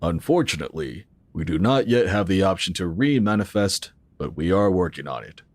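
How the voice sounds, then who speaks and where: An actor playing a calm, assured founder of a futuristic tech organization, explaining in a measured way in an audio drama. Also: male voice, low voice, no room sound. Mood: explanatory, assured. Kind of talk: scripted audio drama acting.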